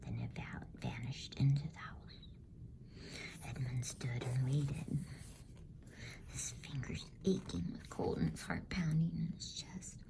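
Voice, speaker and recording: A woman reading a bedtime story as ASMR in a hushed, unhurried whisper. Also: rumbling low, gravelly.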